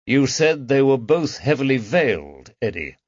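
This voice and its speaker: A man voicing a calm, perceptive Victorian detective with a gentle, measured way of talking, in a dull, dry recording. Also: medium pitch, slightly rough, dry recording, pitched above the performer's own voice.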